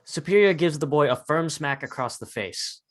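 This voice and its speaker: A man's voice: a little high, animated, moderate pace, fairly bright tone. A man narrating a screenplay, reading out scene headings and stage directions as scripted narration.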